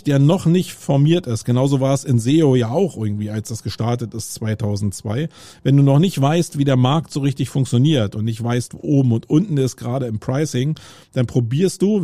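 A man's voice: mid-range voice, dark tone, no room sound. German man musing on a dry mic. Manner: thinking aloud, many fillers and restarts. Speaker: podcast host. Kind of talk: casual solo podcast monologue. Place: outdoors on a city street with roadwork noise.

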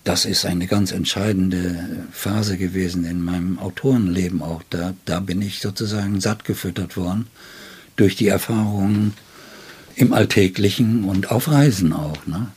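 A man's voice: low-pitched, normal speed, a little mellow, slightly rough. An older man, a poet being interviewed, speaking in a reflective way. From Germany. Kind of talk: reflective interview talk and poetry reading.

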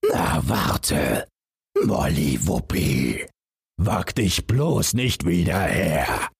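A man voicing a huge, gloating, menacing storybook giant with slow, drawn-out delivery. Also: extremely low pitch, big pitch swings, creaky, slightly rough, no echo, studio quality, exaggerated voice. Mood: furious.

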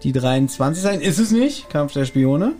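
A man's voice: on the high side, lively intonation, moderate pace, mellow, faint music behind. A man co-hosting a casual podcast chat, guessing quiz answers and thinking aloud with many hesitant fillers. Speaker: podcast co-host.

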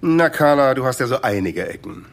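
A man's voice: medium pitch, lively intonation, fairly quick, lightly acted. A man playing a jovial, stubborn office colleague who talks in sayings, smug and droll, in an audio drama. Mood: grumbling.

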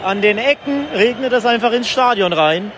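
A man reporting live and casually from a football match as a podcast host, speaking in an excited, raised voice. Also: high voice, normal speed. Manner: excited, raised voice over stadium noise. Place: outdoors in a football stadium stand. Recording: loud stadium crowd noise, outdoor field recording. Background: loud cheering football crowd.

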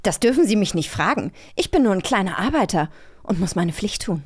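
A woman playing a dutiful, apologetic lowly worker in an improvised children's audio drama. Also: sing-song, fairly quick, slightly rough, dry recording, clean studio sound. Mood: defensive.

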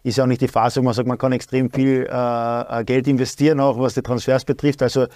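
An Austrian man in his thirties talks as a guest, stopping often with fillers and starting his sentences over. His pitch stays flat and even. The sound is close and dry. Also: fairly quick, dry recording. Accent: Austrian German. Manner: hesitant, many fillers and restarts. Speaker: guest, sporting director. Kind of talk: casual talk show chat.

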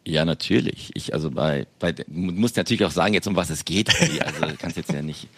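Male co-host from Berlin, deep voice, cheerful banter, dry close mic. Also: brisk, dry recording. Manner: cheerful, joking, hesitant restarts. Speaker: podcast co-host. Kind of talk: casual podcast chat.

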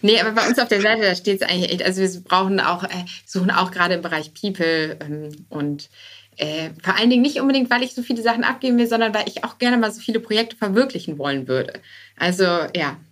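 A woman with a medium-pitched voice talks as a guest in a conversational interview. She is a startup co-founder who speaks informally, feeling her way through sentences and dropping in English business jargon. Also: expressive, quick pace, a bit bright.

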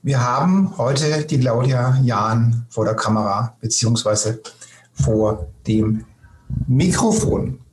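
A man's voice: mid-range voice, slow pace, a little mellow, slight background noise. A man hosting a podcast, introducing things conversationally in an effusive, repetitive way. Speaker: podcast host. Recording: roomy, echoing sound.

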